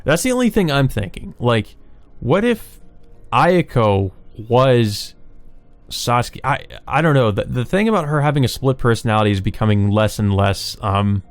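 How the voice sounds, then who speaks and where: A man's voice: medium pitch, animated, normal speed, dry recording. A man reading a visual novel aloud and commenting casually as he plays. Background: game music.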